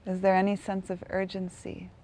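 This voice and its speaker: A woman leading a guided meditation in a gentle, unhurried manner, leaving long pauses between phrases. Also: mid-range voice, completely flat delivery, a little hiss or hum.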